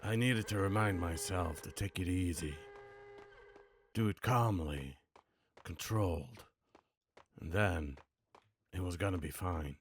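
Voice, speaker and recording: Man doing a low, world-weary noir game hero. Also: slow, pauses often, a little hiss or hum. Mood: calm, resolute. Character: hard-boiled, world-weary male action-game hero narrating his story.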